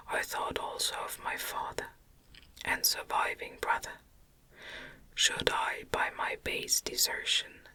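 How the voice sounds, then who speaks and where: A woman reading a novel aloud as ASMR in an unvoiced, breathy whisper, with a gentle, soothing delivery. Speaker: audiobook reader.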